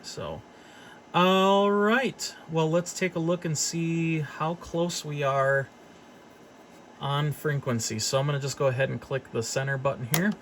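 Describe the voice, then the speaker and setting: A man's voice: medium pitch, a bit bright, a little hiss or hum. A man giving a casual, unscripted equipment demonstration as a hobbyist technician, thinking aloud hesitantly as he goes. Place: service workshop, indoors.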